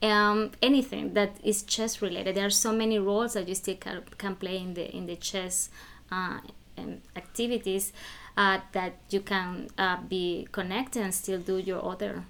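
A woman from Venezuela with a Venezuelan Spanish accent, a guest on a podcast. Her voice sits at an ordinary middle pitch. She searches for words, leaning on fillers and repeats. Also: level tone, moderate pace, a bit bright. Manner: hesitant, many fillers and restarts. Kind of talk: podcast interview.

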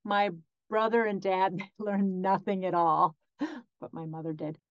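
A woman voicing a guest's answers as an interpreter in an interview, speaking in measured phrases with frequent pauses. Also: mid-range voice, steady tone, no echo. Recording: dry, slightly dull lo-fi audio.

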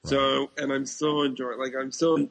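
A man's voice: mid-range voice, flat delivery, moderate pace, creaky, a bit bright. A man co-hosting a casual chat, answering bluntly and briefly with his own opinions. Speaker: podcast co-host.

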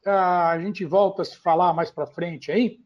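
A man's voice: high voice, fairly even intonation, measured pace, darkish tone. A man hosting a casual podcast interview, asking questions in a friendly, conversational way. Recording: dull lo-fi sound.

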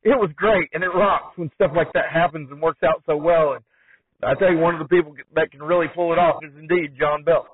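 A thin, phone-like and dry recording of a man hosting a podcast. His voice is fairly high for a man, and he speaks conversationally as an interviewer. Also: fairly even intonation, a little fast, husky, no room sound. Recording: thin phone-like band-limited sound, dry.

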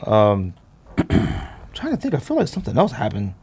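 A man in his thirties hosting a casual chat, rambling and thinking out loud in slangy talk. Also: fairly low pitch, wildly swooping pitch, moderate pace, creaky, breathy edge. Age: 30s. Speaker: podcast host. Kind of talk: casual chat about sneakers.

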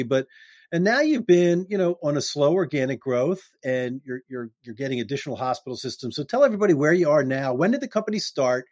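A man's voice: mid-range voice, darkish tone, no room sound. Man hosting a casual interview, dry sound. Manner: loose, restarting, upbeat with quick laughs. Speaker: podcast host.

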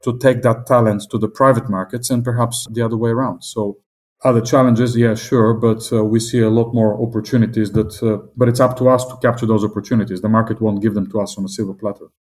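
A middle-aged man from Southern Africa with a non-British accent, answering interview questions as an investment executive in a measured, optimistic, businesslike way. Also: lowish voice, steady tone, darkish tone. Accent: non-British English accent.

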